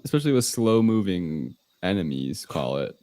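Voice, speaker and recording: A man with a low voice joins a podcast panel chat. He sounds questioning and a bit tentative, recorded close and dry with no room sound. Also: sing-song, moderate pace, dark tone, dry recording. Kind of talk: casual chat.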